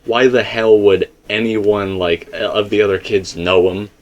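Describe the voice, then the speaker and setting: A man's voice: on the low side, flat delivery, unhurried, dull audio. A man talking through a fan theory in a casual, emphatic and rambling way, full of asides. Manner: emphatic, rambling, speaks in asides and self-quotes. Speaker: video commentator and theorist.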